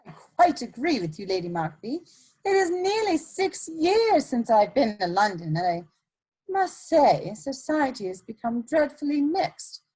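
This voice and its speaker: Worldly Victorian schemer for stage comedy, acted by a woman; high, lively, husky, arch. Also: measured pace, full character voice, low-quality recording. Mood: arch, polite. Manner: arch, smoothly polite. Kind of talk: stage comedy dramatic reading.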